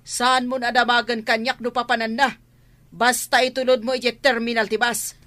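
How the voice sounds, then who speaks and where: A woman's voice: a little high, level tone, normal speed, sharp tone. A woman playing a harsh, bossy household employer in a radio drama, scolding and giving imperious orders. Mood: curt, commanding. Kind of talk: radio comedy-drama acting in Ilocano.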